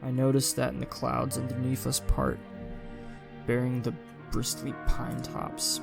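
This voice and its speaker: Man, heavy vocal fry, lower-middle everyday pitch, game longplay narration, casual asides, prominent music behind. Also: monotone, measured pace. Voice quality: gravelly, creaky. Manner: casual, swearing reactions. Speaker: gaming streamer doing a longplay. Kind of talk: reading a visual novel aloud with casual asides.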